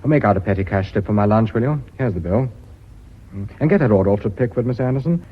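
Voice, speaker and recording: A muffled radio recording of a British company boss. He sounds husky, brisk and authoritative, in the manner of a businesslike radio play character. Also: fairly low pitch, animated. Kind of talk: British radio drama acting.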